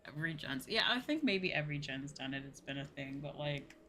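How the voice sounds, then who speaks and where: A woman's voice: low voice, lively intonation, brisk, sharp tone, slight background noise. A woman streamer talking casually and conversationally in a livestream chat. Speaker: VTuber streamer.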